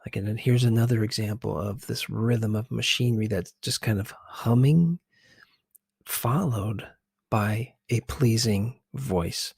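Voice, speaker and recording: A man gives casual ASMR-style commentary in a close, dry recording. His pace is very slow and his manner is gentle and a little hesitant, explaining things bit by bit. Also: on the low side, creaky, husky, no room sound. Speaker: ASMR video host.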